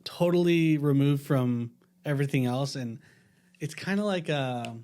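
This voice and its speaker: Guy on a podcast panel explaining stuff eagerly, repeats words, normal pitched voice. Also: measured pace, mellow. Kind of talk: casual group discussion.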